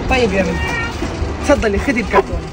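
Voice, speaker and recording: Young Levantine man, acted for online moral drama: kind, concerned, very quick delivery, music heavy underneath. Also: high voice, natural voice. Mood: puzzled. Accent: Levantine Arabic. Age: young adult. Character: concerned, kind young rich man helping street children. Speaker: actor in short moral skit. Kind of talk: acted social media skit. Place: outdoors in an urban car park. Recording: loud music over the voice.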